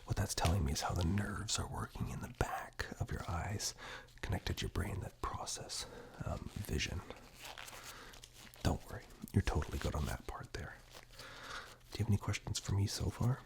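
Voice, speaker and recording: A man performing whispered ASMR roleplay in a gentle, reassuring, unhurried manner, very close on a binaural microphone. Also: deep voice, vocal fry.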